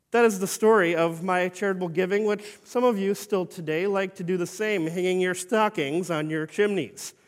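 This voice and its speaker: Man performing as an aged saintly bishop, humble, stern, slow solemn delivery, high voice, long hall reverb. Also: fairly bright tone. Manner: slow, solemn storytelling with formal phrasing. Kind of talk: first-person dramatic monologue.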